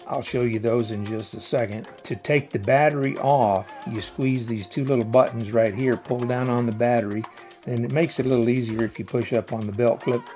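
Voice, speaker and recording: A man's voice: medium pitch, a little mellow. A man giving a casual hands-on product demonstration in a plainspoken, step-by-step explanatory way. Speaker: product reviewer.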